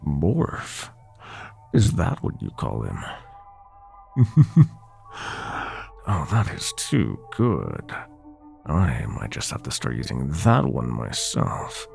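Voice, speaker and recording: A man playing a sinister, seductive nightmare god in a roleplay audio drama, gloating and possessive, with a slow theatrical, teasing drawl. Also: a bass voice, wildly swooping pitch, gravelly, darkish tone, exaggerated voice. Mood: amused. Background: music.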